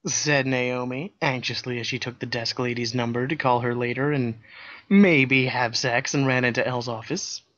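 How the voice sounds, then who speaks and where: A man narrating fan fiction in a dry, deadpan comedic way, clearing his throat often. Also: mid-range voice, normal speed. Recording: dull lo-fi sound.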